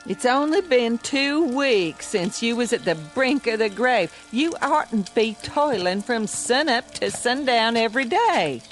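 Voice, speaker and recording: A woman's voice: on the high side, lively intonation, unhurried, hardly any pauses, soft background music, low-quality recording. An actress playing a worried, fussing farm mother with a rural Southern American accent in a radio drama. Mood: fretful.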